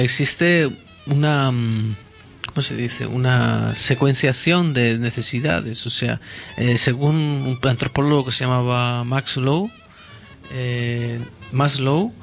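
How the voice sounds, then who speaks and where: A man's voice: mid-range voice, creaky, fairly bright tone. A man explaining ideas in casual conversation, hesitant, with many fillers and restarts.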